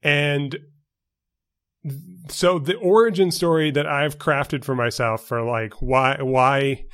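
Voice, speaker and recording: Measured young man, careful wording. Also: medium pitch, fairly bright tone. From New York City. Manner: measured, precise wording with many fillers and restarts. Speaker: podcast co-host. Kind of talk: casual chat.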